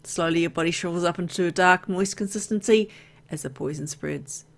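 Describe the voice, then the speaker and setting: A woman with a fairly low voice and plenty of vocal fry narrates a story game. She reads the text aloud like a storyteller, then slips into casual, playful remarks of her own as a player. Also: a little fast. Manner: reacts playfully to the story with exclamations and asides. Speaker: video game let's player.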